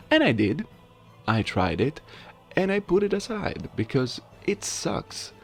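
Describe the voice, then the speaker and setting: A man's voice: medium pitch, vocal fry. A man giving casual, conversational commentary as a video creator, talking informally to his viewers. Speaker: video creator and amateur voice actor. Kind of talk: casual commentary on a game voice-acting challenge.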